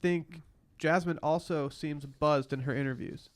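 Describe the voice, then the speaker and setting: Male podcast host, clean resonant tone on the higher side, loose joking banter. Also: fairly even intonation, measured pace. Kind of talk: casual chat recap of a reality TV show.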